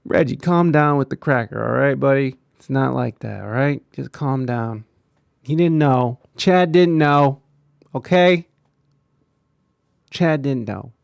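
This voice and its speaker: Sarcastic streamer, dry close mic. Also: male voice, medium pitch, slow, creaky, clear, resonant tone, dry recording. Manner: sarcastic, joking asides, repeats phrases. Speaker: streamer reacting to clips. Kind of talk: live stream commentary.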